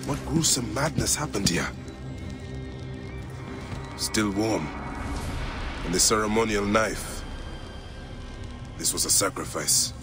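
An actor voicing a determined Egyptian warrior in a video game, brooding and muttering to himself. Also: male voice, on the low side, lively intonation, slow pace, long pauses, music in the background. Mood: pondering. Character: determined male Egyptian warrior hunting a villain.

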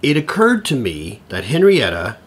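An actor playing a flustered, needy middle-aged father in an audio play, with a husky voice and a hesitant, stammering way of talking. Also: mid-range voice, huge pitch swings, moderate pace. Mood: flustered, rambling. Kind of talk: naturalistic audio play acting.

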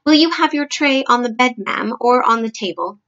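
A woman's voice: on the high side, animated, moderate pace, a bit bright, muffled recording, voice pushed up. A woman voicing an anxious, deferential middle-aged maid for a storybook. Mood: soothing. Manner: deferential, fretful.

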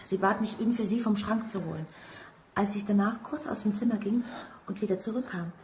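An actress playing a polite, uneasy young housemaid in a mystery radio play, courteous and earnest. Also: female voice, medium pitch, a little fast, darkish tone, a little hiss or hum, close to an everyday voice. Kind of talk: German radio play acting. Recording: roomy sound, faint background ambience.

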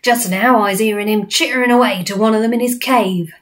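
A woman voicing a pack of brutish male man-eating giants who shout taunts in a storybook reading. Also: on the high side, fairly even intonation, brisk, natural voice. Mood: gloating.

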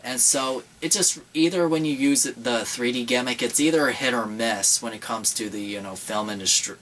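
A man's voice: medium pitch, fairly bright tone, recorded from a distance, a little hiss or hum. A young man co-hosting a casual horror movie chat in a small room, rambling with many fillers and restarts. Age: young adult. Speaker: horror channel co-host.

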